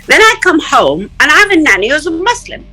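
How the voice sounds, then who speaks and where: A middle-aged woman from Palestine telling stories in an interview, animated and often laughing. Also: high-pitched, fairly quick, soft background music. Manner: animated, acts out quoted voices, laughs often. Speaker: interview guest. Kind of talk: casual storytelling in an interview.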